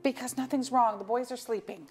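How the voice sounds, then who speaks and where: A woman's voice: on the high side, fairly quick, a little mellow, faint music behind, natural voice. A woman playing a sensible, dryly teasing middle-aged suburban wife in a staged play reading. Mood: firm, urgent.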